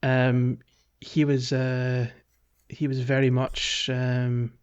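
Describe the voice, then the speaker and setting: A man with a medium-pitched voice chats as a podcast co-host. His delivery is informal and hesitant, with phrases that stop and start as he works through a thought. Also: completely flat delivery, drawn-out words, clear, resonant tone, muffled recording. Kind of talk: casual video game podcast chat.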